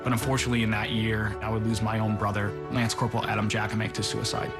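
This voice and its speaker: A man, a military veteran, giving an earnest, measured scripted testimonial. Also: lowish voice, level tone. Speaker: military veteran in a public-service ad. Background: loud music.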